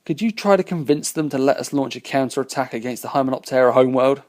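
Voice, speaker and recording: A man's voice: mid-range voice, fast, a little mellow, pitched above the performer's own voice, natural voice. A man reading aloud who voices a determined, battle-worn starship captain speaking earnestly and resolutely.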